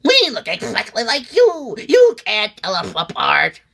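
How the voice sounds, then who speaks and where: A man voicing a snooty, excitable cartoon duck creature who squabbles in a lisping, spluttering, indignant voice. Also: high-pitched, measured pace, creaky, breathy edge, voice pushed up, heavily acted. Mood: gloating. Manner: indignant, haughty, exclamatory.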